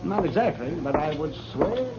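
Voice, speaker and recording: An actor playing a flustered, garrulous older frontier doctor in a radio western, stammering and emphatic. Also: male voice, on the high side, big pitch swings, normal speed. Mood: wry, insistent. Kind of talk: 1950s radio western acting. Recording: muffled, noisy old radio recording.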